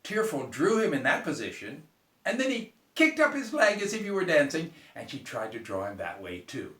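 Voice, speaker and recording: A man's voice: fairly high pitch, wildly swooping pitch, moderate pace, fairly bright tone, recorded from a distance. A man narrating a children's bedtime story as a storyteller. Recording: echoey room, off the mic.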